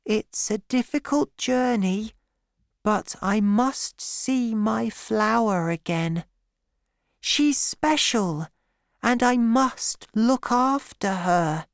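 A man voicing a gentle, sad little boy prince, speaking softly and earnestly. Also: high voice, drawn-out words, creaky, smooth, no echo, clean studio sound, pitched above the performer's own voice, exaggerated voice. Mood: wistful. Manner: soft, earnest, wistful.

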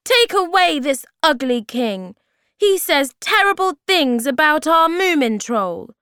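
A woman puts on a small male storybook creature with a high, animated voice. He is blunt and bossy. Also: a little slow, no room sound. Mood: angry, bossy.

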